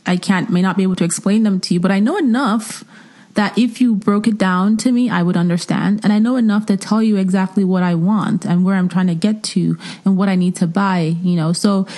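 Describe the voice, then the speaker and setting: A woman hosting a podcast, chatting casually and in an animated way with a guest. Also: medium pitch, brisk. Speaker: podcast host interviewing a guest. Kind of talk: casual interview chat.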